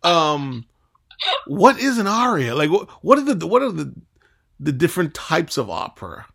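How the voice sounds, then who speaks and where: A woman interviewer with a low, lively voice asks her guest questions in an easy, playful way. Between questions she reacts briefly, and her pitch swings widely. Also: moderate pace, creaky, husky. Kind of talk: casual interview.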